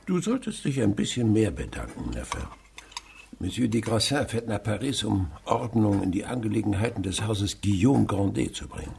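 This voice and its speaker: An actor playing a sly, blustering old provincial miser in a radio drama, muttering and exclaiming theatrically. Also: male voice, lowish voice, very animated, normal speed, vocal fry, darkish tone, a little hiss or hum, full character voice. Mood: sly, stern. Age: older.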